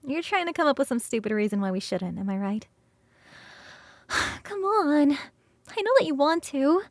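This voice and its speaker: A woman voicing a playful, hungry and affectionate succubus roommate in an ASMR roleplay, coaxing and teasing with sighs and soft, breathy turns. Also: high voice, very animated, no room sound, heavily acted. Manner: coaxing, sighing, drawn-out savouring, shifting into soft whispers.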